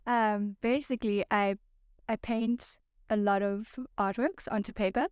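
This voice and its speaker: Giggly woman with a smooth voice. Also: medium pitch, steady tone, a little slow, no room sound, clean studio sound. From South Africa. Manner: giggly, hesitant explaining. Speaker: visual artist. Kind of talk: casual chat.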